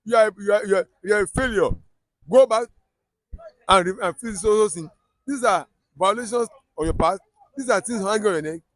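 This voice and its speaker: A Nigerian man with a Yoruba accent delivers heated political commentary in a high voice. He talks emphatically, repeating words and restarting sentences. The sound is close and dry. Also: moderate pace, dry recording, poor audio. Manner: emphatic, repetitive, stumbles and restarts often.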